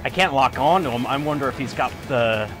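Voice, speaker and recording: A man's voice: medium pitch, brisk, over music. A man giving light, casual commentary while playing a video game. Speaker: gamer recording a playthrough.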